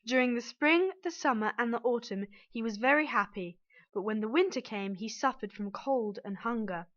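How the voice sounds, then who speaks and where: A woman's voice: a little high, moderate pace, a bit bright, lo-fi sound. A woman reading a scripted fairy tale aloud as the storyteller.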